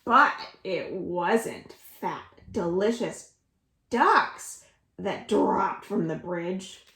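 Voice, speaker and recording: A woman reading a children's story aloud in an expressive, deliberate way, as a storyteller for young children. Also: mid-range voice. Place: indoors at home. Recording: roomy echo of a home room.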